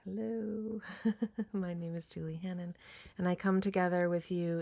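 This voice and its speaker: A woman leading a guided meditation in a soft, breathy, deliberate voice with long pauses. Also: fairly low pitch.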